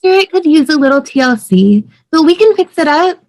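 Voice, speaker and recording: Woman playing an upbeat high-voiced young wife. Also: animated, brisk, darkish tone. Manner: enthusiastic, casual. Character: upbeat young wife excited about inherited house.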